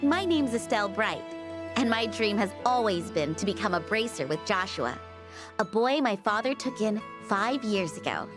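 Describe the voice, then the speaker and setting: A woman's voice: medium pitch, very animated, normal speed, natural voice. Estelle, a woman playing a spirited young heroine. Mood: upbeat. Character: spirited teenage girl heroine, cheerful and determined. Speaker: game character narrating a recap. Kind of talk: scripted game voice acting. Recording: game audio with music underneath. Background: music.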